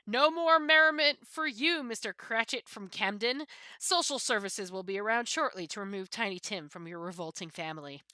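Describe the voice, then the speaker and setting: A woman plays a pampered, misanthropic cat reading its musings from a book. The delivery swoops with pompous disdain and theatrical emphasis. The tone is bright and sharp, in a dry recording. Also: a little high, no echo. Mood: scornful. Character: haughty misanthropic pampered cat, sneering at common people.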